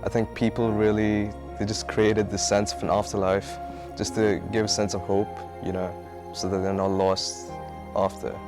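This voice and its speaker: Flat-voiced young man over music. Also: on the low side, moderate pace. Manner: relaxed, filler-heavy. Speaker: engineering student.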